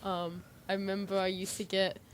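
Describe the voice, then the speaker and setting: A woman's voice: medium pitch, little pitch movement, moderate pace. A woman in casual chat as a show panelist, telling anecdotes in a hesitant way. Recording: clear background noise.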